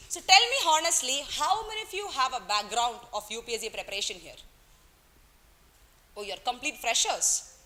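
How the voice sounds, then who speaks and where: Lively bright-voiced woman teacher. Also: on the high side, moderate pace. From Kerala, India. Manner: playful, teasing, coaxing students, mixes in Hindi words. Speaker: economics teacher. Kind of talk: classroom lecture. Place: classroom.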